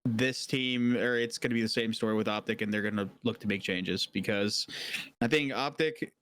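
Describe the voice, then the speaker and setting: A man's voice: on the low side, moderate pace, fairly bright tone. A young man on an esports panel, giving blunt opinions and swearing casually.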